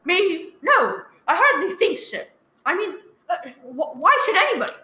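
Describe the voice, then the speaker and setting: A very high-pitched, piercing invalid wife in a radio thriller, recorded in an echoey room. She is demanding and fretful, stammering and complaining with wild swings of emphasis. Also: very animated, normal speed, sharp tone, heavily acted. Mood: flustered. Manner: shrill, agitated, stammering, complaining. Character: nervous, demanding, imperious bedridden invalid wife. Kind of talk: old-time radio thriller acting. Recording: roomy, echoing live recording.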